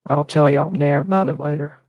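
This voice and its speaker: Halting, rambling man with dry, close sound. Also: on the high side, fairly even intonation, no room sound, muffled recording. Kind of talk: casual live chat.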